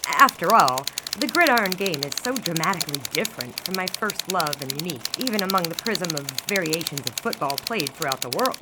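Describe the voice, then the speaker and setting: A woman's voice: lowish voice, very noisy. A woman playing a literate 1920s sports fanatic, narrating her memoirs in florid, theatrical, old-fashioned phrasing. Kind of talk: scripted first-person memoir narration. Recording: loud typewriter clatter behind the voice.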